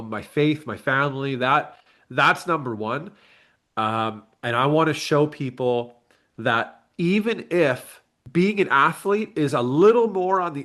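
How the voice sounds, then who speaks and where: A man in his late thirties speaks as a guest in a relaxed interview. His pitch is middling, and he talks in a candid, reflective, earnest way, like an athlete and coach chatting informally. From Canada. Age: late 30s. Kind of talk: casual interview chat.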